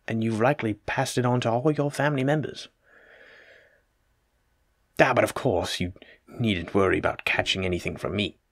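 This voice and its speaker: Guy doing a polite fussy plague doctor for an audio roleplay, kinda deep voice, very dry sound, formal old timey wording, bit full of himself. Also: a bit bright, no echo. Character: courteous, evasive, self-important male plague doctor. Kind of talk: hobby audio roleplay acting, addressed to the listener.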